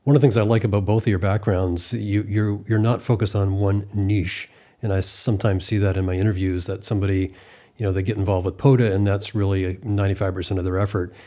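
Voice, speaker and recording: A man's voice: lowish voice, level tone, quick pace, dark tone. A man hosting an interview in a conversational style, commenting and putting questions to guests. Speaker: interview host.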